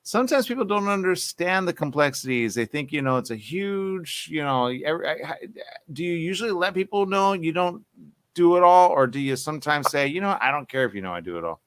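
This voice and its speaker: A man's voice: a little high, big pitch swings, a little fast, a bit bright, clear, resonant tone, dry recording. A man hosting an entertainment interview, upbeat and encouraging, asking long, rambling questions. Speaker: interview host.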